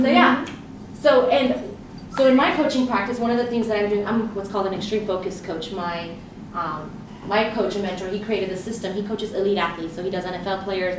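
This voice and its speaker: A woman speaks to a live audience as a leadership coach, telling stories and voicing her own thoughts with energy. Her voice comes from a distance and rings through a very echoey room with steady noise in the background. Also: medium pitch, fairly quick. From grew up in Southern California. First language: Romanian. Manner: lively storytelling with quoted inner thoughts. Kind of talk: live conference presentation.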